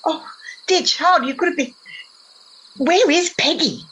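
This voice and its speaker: A woman's voice: very high-pitched, a little slow, fairly bright tone, a little hiss or hum, rough recording. A young girl playing a stern, cruel schoolmistress in an amateur radio play, imperious and scolding. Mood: alarmed, scolding. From Australia. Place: at home over a video call.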